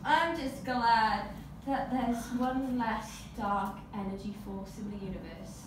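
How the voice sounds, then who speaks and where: A woman's voice: a little high, slow pace, pitched below the performer's own voice. A young woman playing a sanctimonious, mischievous free spirit who haughtily protests innocence in a murder mystery game. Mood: indignant, haughty. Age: young adult. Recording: echoey room, distant camera, background noise.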